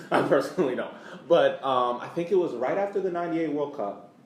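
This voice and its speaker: An American man co-hosting a podcast, talking casually about sports in a halting way, repeating words as he searches for phrasing. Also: a little high, lively intonation. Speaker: podcast co-host. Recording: echoey room, distant mic, faint background noise.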